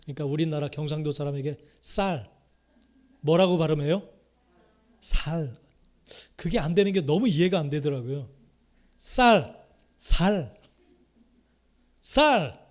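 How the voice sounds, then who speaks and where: Middle-aged male Korean pastor, slightly high voice, sermon delivery with repeated phrases and sharp loud-to-soft shifts. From Korea, Chungcheong province. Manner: swings from loud rising exhortation to soft muttered asides; repeats key phrases, calls out hallelujah.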